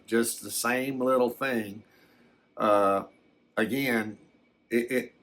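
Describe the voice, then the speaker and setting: A man playing a shaken, plain-spoken rural abductee, telling his story in a halting, rambling, folksy way as ASMR. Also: mid-range voice, a bit bright.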